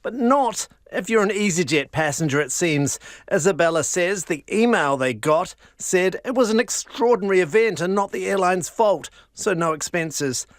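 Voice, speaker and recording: Male reporter, measured scripted read, slightly high, dry booth. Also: expressive, no room sound. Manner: crisp, measured news delivery.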